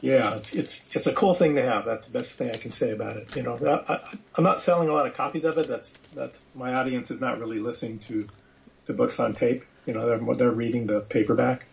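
A man's voice: mid-range voice, brisk, gravelly, muffled recording. A man speaking casually and conversationally as the guest in an interview.

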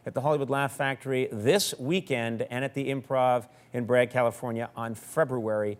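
A man hosting a late-night show, introducing the next comedian in a brisk announcing manner. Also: mid-range voice, steady tone. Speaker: talk show host. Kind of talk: introducing a guest.

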